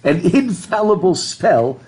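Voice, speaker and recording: A middle-aged man lectures a classroom in front of a blackboard, his mellow voice thick from a stuffed up nose. He jokes, laughs and asks the students questions as he goes. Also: high voice, sing-song, takes it slowly. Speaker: professor. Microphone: laptop webcam.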